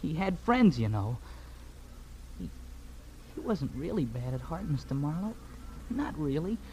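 An actor playing an earnest, hurt kid who sells papers, with an American accent and halting delivery, in 1940s radio drama style. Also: male voice, on the high side, big pitch swings, a little mellow. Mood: subdued, earnest. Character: earnest, hurt working-class newsboy, a kid. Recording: noisy vintage radio recording.

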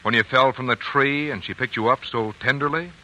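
A man's voice: mid-range voice, a little fast, pushed and bright, slight background noise, poor audio. A man working as a radio announcer, reading scripted 1940s commercial copy in a polished, earnest way. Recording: old radio broadcast, faint music behind.

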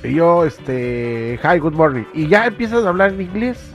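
A man co-hosting a radio call-in show, giving animated opinions in banter with the host. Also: a little high, normal speed, highs cut off, soft background music.

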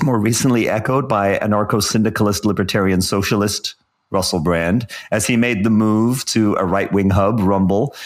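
A middle-aged man from South Africa co-hosting a podcast, giving articulate, wordy commentary with a wry edge. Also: mid-range voice. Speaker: podcast co-host. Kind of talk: podcast commentary, part scripted.

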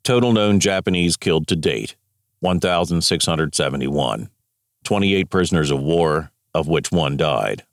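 A man's voice: a bass voice, lively intonation, normal speed, dry recording, clean studio sound. A man formally reading out a scripted military dispatch. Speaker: reader of wartime military dispatches.

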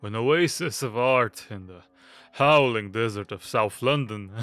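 A man playing a fussy, nervous, hypochondriac young gentleman in an audio drama, stammering and obsequious. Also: medium pitch, slow, no echo. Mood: fawning, nervous. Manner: stammering, fidgety, obsequious, nervous little laughs.

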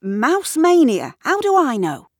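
A woman's voice: high voice, huge pitch swings, slow, no echo, studio quality, voice pushed up, exaggerated voice. A woman voicing an apologetic, defensive man in a comic audiobook story. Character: apologetic, cheeky, defensive man in a household farce.

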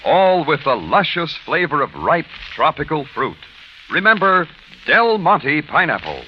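A man's voice: on the high side, wildly swooping pitch, measured pace, heavy background noise. An American man announcing 1940s radio commercials and credits with a polished, emphatic sales delivery. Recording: muffled vintage radio recording, music behind.

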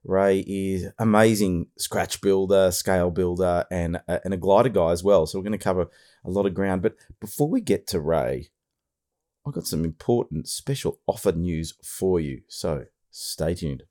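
A hoarse Australian man with a very low voice talks through his podcast in a loose, rambling way, full of fillers and restarts. He sounds middle-aged and a little worn, as if he is losing his voice. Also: moderate pace, a little mellow. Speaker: podcast host. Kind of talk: casual podcast monologue.

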